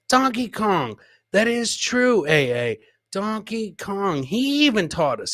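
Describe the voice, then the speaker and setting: A man's voice: high-pitched, fairly bright tone, no room sound, clean studio sound. A man hosting a casual live comedy show, commenting on clips, repeating his phrases and talking to his producer and viewers. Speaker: podcast host.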